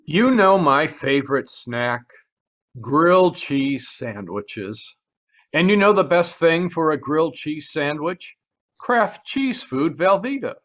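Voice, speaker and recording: Dull-sounding male radio pitchman, higher voice. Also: very animated, slow, a bit bright. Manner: friendly salesman patter. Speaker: commercial announcer. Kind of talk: 1940s radio advertisement read. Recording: dull lo-fi video call audio.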